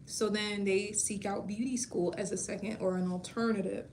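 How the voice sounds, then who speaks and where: A woman in her thirties chatting casually with viewers on a livestream, warm and talkative with frequent laughs, explaining beauty school and her outlook. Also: mid-range voice, steady tone, moderate pace, a little hiss or hum. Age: 30s. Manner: chatty, laughs often, calls viewers baby.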